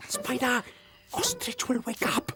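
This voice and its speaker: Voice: mid-range voice, very animated, a little slow, noisy background. An actor voicing an earnest, gullible, dreamy jackal in a children's cartoon, speaking in a wistful, hesitant way.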